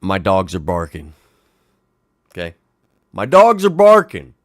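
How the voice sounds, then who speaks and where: A young man with a raspy voice, hosting a casual late-night livestream from home and chatting playfully with viewers. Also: sits low, unhurried, dry recording, studio quality. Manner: animated, playful, talks to chat viewers. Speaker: livestream host.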